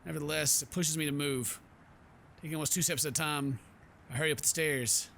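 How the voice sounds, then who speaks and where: A man's voice: on the high side, fairly even intonation, a little fast, gravelly, pushed and bright, a little hiss or hum. A man streaming a game, reading narration aloud and breaking into playful, casual asides. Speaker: gaming streamer. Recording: game music behind the voice.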